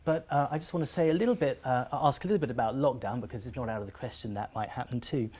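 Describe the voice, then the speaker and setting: A man's voice: mid-range voice, fast, a little mellow. A man interviewing his students for a school video, friendly and conversational, with frequent small fillers.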